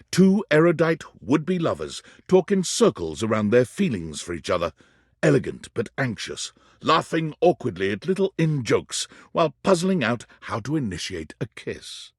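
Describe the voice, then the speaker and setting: A man playing a theatrical, wry storyteller who narrates a video game in a dramatic, deliberate and erudite manner. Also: medium pitch, husky, no echo, studio quality. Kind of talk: theatrical scripted second-person game narration.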